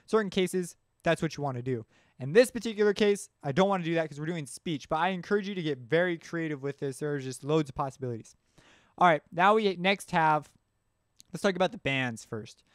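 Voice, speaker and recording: Male tutorial host, smooth and slightly high, informal step-by-step explainer, dry studio-close sound. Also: expressive, a little fast, no room sound. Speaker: music production tutorial host. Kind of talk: casual screen-recorded software tutorial.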